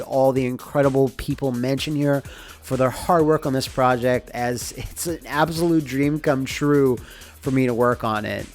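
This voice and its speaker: A man giving an informal, conversational behind-the-scenes narration as a game developer, explaining things in a casual way. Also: a little high. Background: music under the voice.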